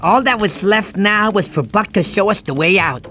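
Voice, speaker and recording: A man voicing a goofy, good-natured cartoon sloth in a game cutscene, rambling and excitable with a slushy lisp. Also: high voice, moderate pace, faint music behind. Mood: dramatic, flustered. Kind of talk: animated game cutscene storytelling.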